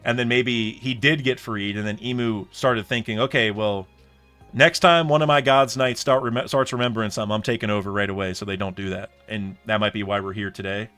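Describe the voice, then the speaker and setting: A man's voice: fairly low pitch, fairly even intonation, a little fast, fairly bright tone, soft background music. A young man chatting casually on a live stream, thinking aloud in rambling sentences full of restarts and fillers. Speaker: streamer reacting to a comic chapter.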